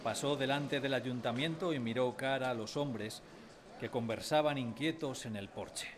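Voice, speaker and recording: A man's voice: medium pitch, moderate pace, noisy background, natural voice. An actor narrating a radio drama as a man recalling his village childhood, wistfully, in a literary style. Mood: somber. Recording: music behind the voice.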